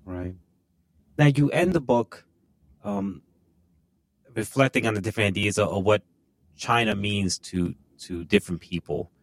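A male interviewer speaks on a radio programme. His voice is slightly low, and the sound is dry, with no audible room. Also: measured pace, long pauses, no echo.

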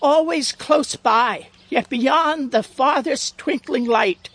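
A woman voicing an ancient, wise storybook turtle in a measured, solemn, reassuring manner. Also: high-pitched, slightly rough, exaggerated voice. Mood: solemn, tender. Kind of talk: storybook character reading.

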